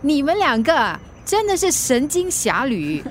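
A caring big-sister friend in a radio drama, high-voiced and bantering. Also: female voice, huge pitch swings, measured pace, a little hiss or hum, full character voice. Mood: teasing, reassuring. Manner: reassuring, bantering.

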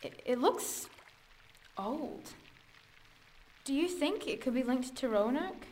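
Actress playing high-voiced, curious cave explorer. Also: female voice, lively intonation, slow, darkish tone. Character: curious, absorbed young woman adventurer exploring a cave. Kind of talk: audio drama acting.

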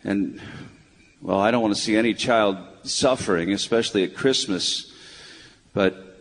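An actor playing a weary, bitter old retired broadcaster in a live radio play, with a curmudgeonly, dismissive manner. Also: male voice, fairly low pitch, measured pace. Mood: somber, withdrawn. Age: older. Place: town hall stage before a live audience. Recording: noisy live hall, long reverb.

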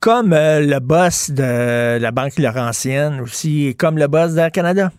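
A man's voice: on the high side, unhurried, a little mellow, smooth, dry recording, clean studio sound. A man hosting talk radio in a casual, colloquial way. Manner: conversational, colloquial. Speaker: radio host.

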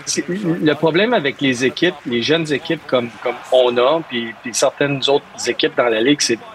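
A man's voice: mid-range voice, normal speed, poor audio. A man from Montreal with a Quebec French accent answering interview questions as a hockey coach, hesitating and repeating words as he searches for them.

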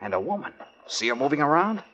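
An actor playing a breezy, wisecracking private detective in a 1940s radio drama. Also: male voice, on the high side, sing-song, fast talker, fairly bright tone, a little hiss or hum, rough recording. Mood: wry.

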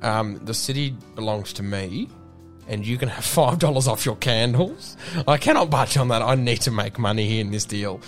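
A stubborn, self-important Australian shop owner, a bloke played by a woman. The voice sits low over music, blunt and colloquial, stammering when flustered in an improvised comedy sketch. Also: lively intonation, moderate pace. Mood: flustered, firm.

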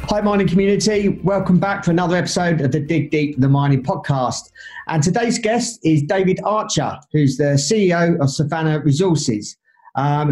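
A man hosts an interview podcast, introducing his guest and then asking questions. His voice sits somewhat high for a man. He talks in a chatty, casual way, with a lot of ums and restarts. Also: darkish tone. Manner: chatty, filler-laden questions. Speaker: podcast host. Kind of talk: interview hosting.

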